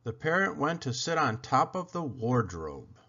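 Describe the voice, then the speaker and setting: Male storyteller for children's picture book, mid-pitched and very expressive, slightly muffled lo-fi sound. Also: breathy edge. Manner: expressive, deliberate storytelling delivery. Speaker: read-aloud storyteller. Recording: dull lo-fi recording.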